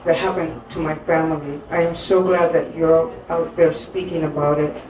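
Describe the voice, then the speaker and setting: A woman's voice: sits low, little pitch movement, a little mellow. Woman poet telling her own story on a live stage, earnest and hesitant, recorded from afar in a roomy hall. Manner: halting, earnest, with frequent pauses and fillers. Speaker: poet and guest. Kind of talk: personal storytelling in a live interview. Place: live stage event in an echoey room. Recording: echoey room from a distance.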